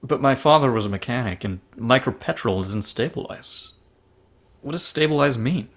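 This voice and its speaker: Mid-pitched man as a polite, inquisitive passenger. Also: animated, normal speed, lightly acted. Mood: polite, questioning. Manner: hesitant, polite. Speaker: table read performer. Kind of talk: amateur drama table read. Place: online video call table read.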